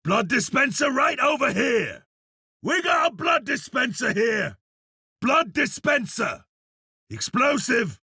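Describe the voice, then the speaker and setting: Brash game berserker, very high-pitched shouting, raspy, forceful, barking combat callouts. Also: male voice, dry recording, studio quality, exaggerated voice. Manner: forceful, emphatic, barking short warnings. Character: loud, brash iron berserker warrior, male video game hero.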